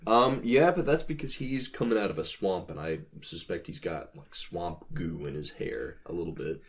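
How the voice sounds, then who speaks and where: A man's voice: sits low, normal speed. A man co-hosting a podcast, explaining his ideas in casual chat with playful, dry humor. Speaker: podcast co-host.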